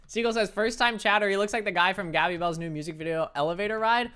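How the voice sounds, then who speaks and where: A young man with a light, high-pitched voice, chatting casually and playfully with his viewers while he plays a game, laughing often and joking at his own expense. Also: brisk, fairly bright tone, clear, resonant tone. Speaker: streamer. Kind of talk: casual chat reading viewer messages while gaming.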